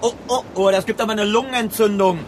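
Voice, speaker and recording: An actor in a radio drama playing a crude, menacing criminal who talks in a mocking, sarcastic, colloquial way. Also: male voice, high-pitched, steady tone, quick pace, noisy background, natural voice. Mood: taunting, coaxing.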